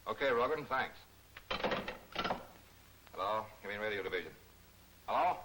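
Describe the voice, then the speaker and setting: A man's voice: lowish voice, animated, normal speed, natural voice. A man playing an unflappable, clipped, businesslike police detective in a 1940s movie serial. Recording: old film soundtrack with faint hiss.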